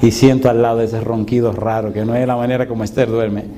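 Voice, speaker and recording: Lively storytelling pastor, man. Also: medium pitch, a little fast. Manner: animated, dramatic storyteller who acts out his own reactions. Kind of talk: humorous storytelling sermon. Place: church hall before a congregation. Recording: noisy live recording with audience.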